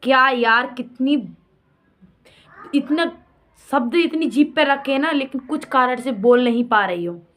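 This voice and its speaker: A woman with a fairly high voice speaks as a vlogger in an unscripted rant. She sounds indignant and scolding, firing off rhetorical questions and repeating phrases for emphasis. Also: measured pace, a bit bright. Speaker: vlogger commenting on a personal drama. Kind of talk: unscripted opinionated rant addressed to absent people.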